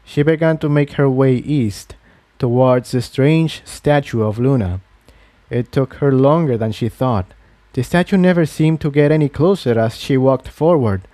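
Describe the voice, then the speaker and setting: A man's voice: mid-range voice, warm tone, dry recording. A man reading a fantasy adventure story aloud as a scripted narrator. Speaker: narrator, fan fiction reader.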